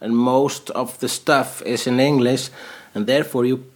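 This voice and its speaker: A man from Iceland with an Icelandic accent, chatting casually in a dry, deadpan way with many halting fillers. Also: medium pitch. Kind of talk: casual chat.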